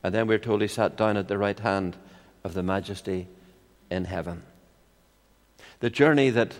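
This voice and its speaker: An older man with a Northern Irish accent preaching a sermon in a measured, expository way, with rhetorical questions and personal anecdotes. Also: on the low side. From Ireland. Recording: long hall reverb.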